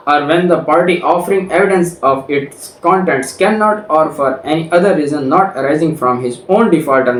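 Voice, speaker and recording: A man with a Pakistani accent giving an explanatory law lecture, reading out provisions and restating them in a didactic way. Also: on the high side. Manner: didactic, repeats and rephrases key points. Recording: roomy, distant mic with background noise.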